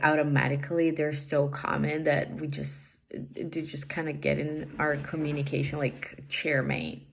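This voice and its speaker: Low, gravelly woman. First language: Portuguese. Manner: thoughtful, many fillers and hedges. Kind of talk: answering assignment questions, casual.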